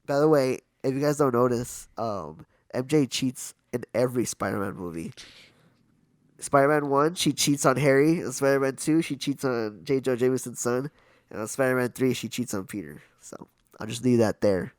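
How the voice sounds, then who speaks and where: A young man co-hosting a podcast, with a hoarse, raspy voice, chatting casually through a film review with quick asides. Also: mid-range voice, dry recording, clean studio sound. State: hoarse from illness. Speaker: podcast co-host.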